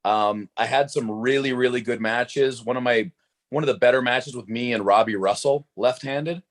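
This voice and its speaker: A man with a hoarse voice talking upbeat and rambling as a guest on a live video chat. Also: medium pitch, normal speed. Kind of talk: casual chat.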